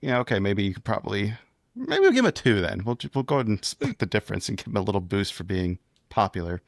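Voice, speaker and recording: A man's voice: on the low side, sing-song, fast, vocal fry, no echo, clean studio sound. A man co-hosting a casual history podcast, easygoing and conversational as he weighs scores with his partner. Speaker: podcast co-host. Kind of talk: casual chat.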